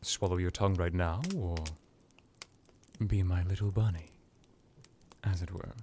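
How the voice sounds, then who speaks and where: A man voicing a seductive, menacing vampire necromancer in an intimate ASMR hypnosis roleplay, purring deliberately and slipping into whispers. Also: sits low, steady tone, darkish tone, a little hiss or hum. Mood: condescending, possessive.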